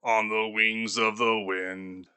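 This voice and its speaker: A man voicing a doomed, grieving male ghost bound in chains, speaking slowly and gravely for an audiobook. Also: fairly low pitch, flat delivery, pushed and bright, no room sound, full character voice. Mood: mournful. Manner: slow, solemn and grave. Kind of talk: audiobook character voice.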